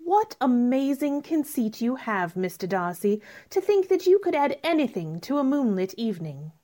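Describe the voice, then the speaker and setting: A woman playing a proud, witty young gentlewoman in a radio drama, cool and formal. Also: high-pitched, sing-song, moderate pace. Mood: cool disdain. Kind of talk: old-fashioned radio drama acting.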